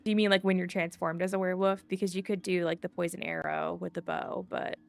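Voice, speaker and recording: A woman's voice: on the low side, flat delivery, fast talker, vocal fry. A woman streaming a game, chatting casually and rambling a little as she reads and answers viewer comments. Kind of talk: casual live gameplay chat, reading viewer comments. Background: faint game music.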